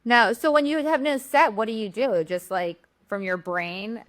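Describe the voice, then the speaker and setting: A woman's voice: a little high, animated, normal speed. A woman guest on a podcast, a business owner chatting casually and laughing often, full of filler words. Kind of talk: casual interview chat.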